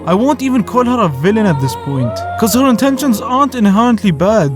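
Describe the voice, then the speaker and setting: A man's voice: high-pitched, animated, brisk. A man whose first language is Arabic, narrating a scripted review in a casual, joking way as the host. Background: faint music.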